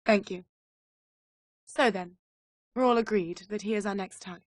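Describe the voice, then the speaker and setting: A woman's voice: medium pitch, moderate pace, no room sound, studio quality, natural voice. A woman playing a poised, commanding criminal syndicate leader in a video game cutscene. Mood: cool authority. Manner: composed, commanding.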